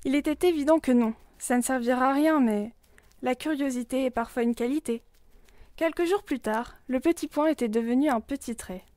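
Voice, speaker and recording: A woman's voice: high-pitched, normal speed, warm tone, dry recording. A young woman reading a scripted, intimate literary journal as a narrator. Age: young adult. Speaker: student narrator.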